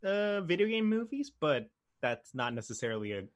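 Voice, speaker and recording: A man co-hosting a casual podcast chat, low-key and dryly joking. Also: big pitch swings, normal speed, dry recording, clean studio sound. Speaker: podcast co-host.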